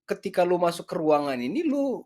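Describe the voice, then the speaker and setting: A man's voice: high voice, very animated, a little fast, darkish tone. A man from Sumatra hosting a casual podcast chat, often agreeing and joking, with easy laughs. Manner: frequent agreeing backchannels, jokey, laughs easily. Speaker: podcast host.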